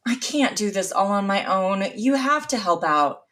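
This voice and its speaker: A woman's voice: mid-range voice, expressive, normal speed, a bit bright, lightly acted. A woman playing a weary, sarcastic, exasperated mother in an audio drama.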